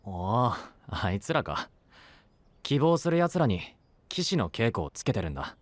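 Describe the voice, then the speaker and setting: A man playing a brusque, easily flustered but earnest young knight in an anime voice drama, with blunt rough casual speech. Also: medium pitch, sing-song, unhurried, no echo.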